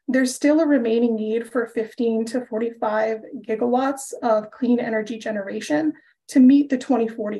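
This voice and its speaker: A woman presenting slides on a panel in a clear, organized way. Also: a little high, mellow, rough recording. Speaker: panelist, utility representative.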